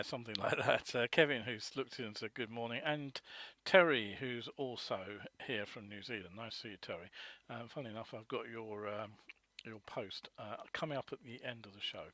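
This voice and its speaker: A man, hoarse from a cold, chats through a live webcast in a mid-range voice. He rambles, with plenty of ums and uhs between points. The sound is close and dry, with no room echo. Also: mid-range voice, moderate pace, sharp tone. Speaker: host of a live motorcycle news webcast.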